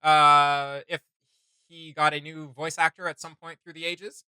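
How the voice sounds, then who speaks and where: Bright, forceful man talking casually. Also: fairly high pitch, little pitch movement, clear, resonant tone, no echo. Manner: emphatic, halting and repetitive, informal. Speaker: reaction video host. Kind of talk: casual opinionated reaction chat.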